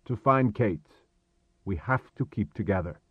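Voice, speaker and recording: A man voicing a steady, commanding adventurer in an audiobook, calm, measured and terse. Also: on the low side, breathy edge, dry recording, highs cut off. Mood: calm, firm. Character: steady, commanding male adventurer calming companions. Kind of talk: audiobook character dialogue.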